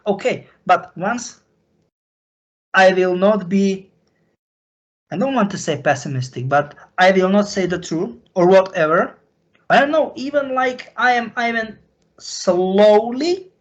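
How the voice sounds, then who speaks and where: A man from Slovakia with a Slovak accent, a native Slovak speaker, talking off the cuff and confessionally in halting, repetitive, broken phrases. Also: high-pitched, lively intonation, dull audio. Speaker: recurring guest on a question-and-answer show. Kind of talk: unscripted, confessional talk.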